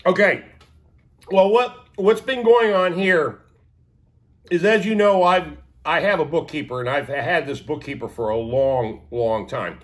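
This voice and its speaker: Man in his 60s, slightly high voice, sniffly and stuffed-up, rambling vlog delivery with lots of "uh"s. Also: unhurried. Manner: rambling, many fillers and restarts. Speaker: vlogger. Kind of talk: casual talk to camera.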